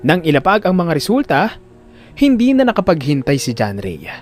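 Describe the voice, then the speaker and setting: Male narrator for audio drama: high voice, very animated range, emphatic storytelling, music underneath. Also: moderate pace.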